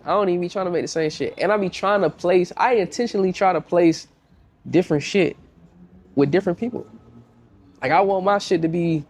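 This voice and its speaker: A man's voice: on the high side, darkish tone. A young man with a congested voice, a music producer talking casually in a podcast interview, slangy and repeating himself as he tells stories.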